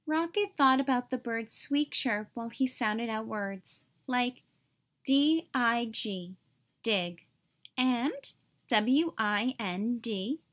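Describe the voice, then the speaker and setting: High-voiced, unhurried woman storybook reader. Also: fairly bright tone. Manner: careful, clearly phrased for young listeners. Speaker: read-aloud narrator for young children. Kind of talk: expressive storybook narration.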